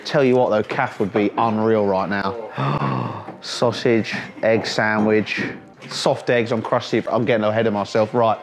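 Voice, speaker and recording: A man's voice: on the low side, steady tone, unhurried, creaky. A man in his thirties hosting a casual vlog, chatting enthusiastically and joking while walking through a warehouse under construction. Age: 30s. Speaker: vlog host.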